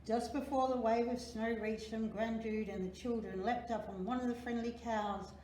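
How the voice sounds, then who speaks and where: Woman, children's story reader, expressive, unhurried delivery, very reverberant room, faint background noise. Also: fairly high pitch. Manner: expressive, dramatic storytelling with drawn-out words. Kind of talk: animated children's picture book reading.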